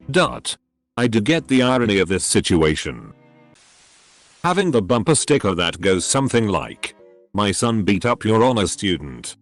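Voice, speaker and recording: A man narrating, reading online posts aloud in an even, matter-of-fact way over faint background music. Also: fairly low pitch.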